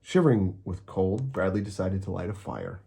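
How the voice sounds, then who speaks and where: A man narrating a children's storybook aloud, expressively and with deliberate, dramatic emphasis. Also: deep voice, very animated, creaky, mellow.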